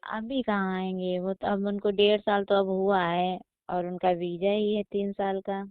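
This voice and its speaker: A woman acts out a lonely married patient in a romantic call drama. She speaks at a middle pitch in a coy, deferential way, confiding with some hesitation. The sound is close and dry, without any room around it. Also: little pitch movement, unhurried, clean tone, dry recording, phone-quality sound, natural voice. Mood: plaintive, confiding. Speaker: patient calling her doctor. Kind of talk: staged romantic phone-call roleplay.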